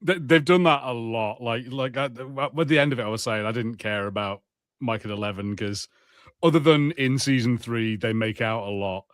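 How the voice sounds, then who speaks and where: A man's voice: medium pitch, normal speed, no room sound, clean studio sound. A man co-hosting a podcast, chatting casually about films and shows with wry, jokey asides. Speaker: podcast co-host. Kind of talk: casual film banter.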